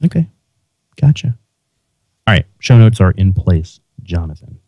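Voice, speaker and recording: A man's voice: low-pitched, very animated, a little slow, warm tone, slightly rough, no echo. A man hosting a podcast, chatting casually and restarting his sentences often. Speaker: podcast host. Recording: dull lo-fi sound.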